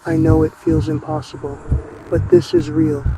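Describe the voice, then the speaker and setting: A man narrating a scripted horror emergency broadcast in a grave, ominous, measured way. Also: on the high side, big pitch swings, vocal fry, background noise.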